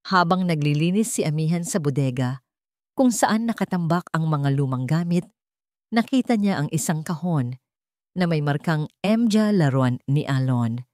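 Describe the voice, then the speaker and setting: Low-pitched woman narrating a dramatic story. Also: animated, a little mellow, no room sound, studio quality. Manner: measured, suspenseful storytelling.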